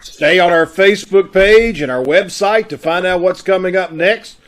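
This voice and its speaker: A man with a gravelly voice, chatting casually as host of a radio troupe's sign-off. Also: sits high, moderate pace.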